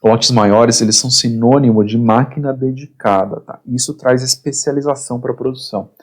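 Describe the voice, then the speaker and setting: A man teaching an online course lecture, his voice husky and going hoarse. He explains in short bursts, repeats himself and keeps checking that the listener is following. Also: mid-range voice, moderate pace. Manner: explains in short phrases, constant "tá?" check-ins, repeats words. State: getting hoarse. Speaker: instructor.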